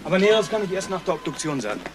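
Curt, higher-voiced police doctor. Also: male voice, very animated, very fast. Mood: curt, clinical. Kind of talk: German crime radio drama acting. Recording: clear background noise.

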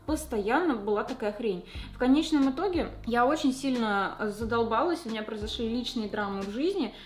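A young woman chats quickly and candidly to a close camera in a small room. Her voice sits a little high, and her talk is full of slang and fillers as she does her makeup. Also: darkish tone. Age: young adult. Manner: talks while doing her makeup. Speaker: vlogger. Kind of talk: casual confessional chat to camera.

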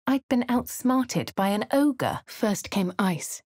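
An actress playing a posh female adventurer from a video game, narrating her deeds with a husky voice in a posh English accent. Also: fairly high pitch, expressive, slow, a little mellow, no room sound, clean studio sound. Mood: measured. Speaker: player character voice. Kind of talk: game voice lines, first-person narration.

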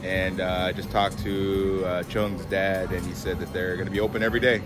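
Low-voiced man vlogging on the street. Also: fairly quick, music in the background. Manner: conversational, relaxed, filler-heavy. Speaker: fitness vlogger. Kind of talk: casual vlog. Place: outdoors on a city street, then indoors at home. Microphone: phone held at arm's length, wireless earbuds.

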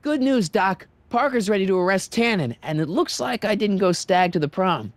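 Lively wisecracking American teenage boy. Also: high voice, moderate pace, no echo. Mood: upbeat. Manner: casual, slangy, wisecracking. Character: earnest, quick-witted teenage boy adventurer in disguise. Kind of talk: video game dialogue.

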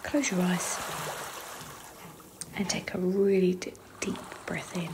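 A woman leading a guided visualisation meditation in a soft, breathy, half-whispered voice with slow, unhurried phrasing. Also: fairly low pitch, level tone. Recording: clearly noisy, trickling water ambience.

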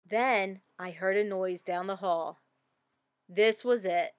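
A woman reading a children's story aloud with expressive, dramatic emphasis. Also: medium pitch, slow, dry recording, clean studio sound. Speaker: storybook reader.

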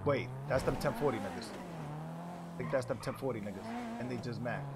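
A man's voice: medium pitch, animated, normal speed, heavy background noise. A young man bantering casually in character during an online roleplay game, slangy and profane, repeating his phrases.

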